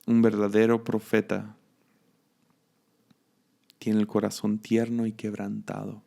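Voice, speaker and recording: A man with a Mexican accent giving a reflective devotional teaching, musing aloud with restarts and soft laughs. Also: fairly low pitch, completely flat delivery, takes it slowly, creaky. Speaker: preacher.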